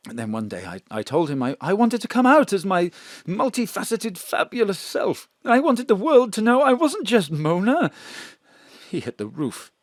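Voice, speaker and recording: A man's voice: high-pitched, big pitch swings, a little slow, dry recording, clean studio sound. An actor playing a frightened male drag performer, with a theatrical, swooping storytelling manner. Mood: confiding. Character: male drag performer Bruno, also Mona, frightened fugitive. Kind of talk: audio drama acting. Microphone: home studio mic.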